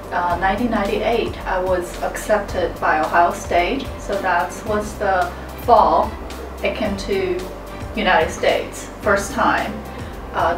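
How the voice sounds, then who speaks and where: A woman speaks matter-of-factly at a middle pitch. She is picked up from a distance in a roomy space, with music playing beneath her. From China. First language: Chinese. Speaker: interviewee. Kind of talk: personal story interview.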